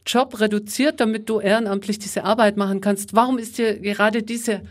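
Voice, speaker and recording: A woman hosting a podcast interview, asking her guest questions in a friendly, measured way. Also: medium pitch, steady tone. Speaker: podcast host.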